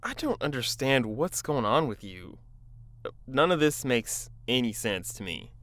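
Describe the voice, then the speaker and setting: A man's voice: mid-range voice, sing-song, unhurried, a bit bright. An actor playing a frustrated young man who pushes his friend to go out, his voice bursting upward when upset. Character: exasperated young male friend, pushy. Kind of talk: audio drama dialogue.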